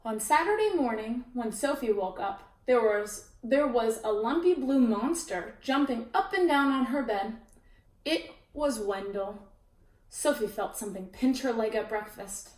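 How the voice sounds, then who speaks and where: Woman storyteller, picture book read-aloud, bright fairly high voice, echoey room, mic at a distance. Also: a little slow. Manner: expressive, with chatty asides to listeners. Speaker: children's storyteller. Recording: roomy echo, distant mic.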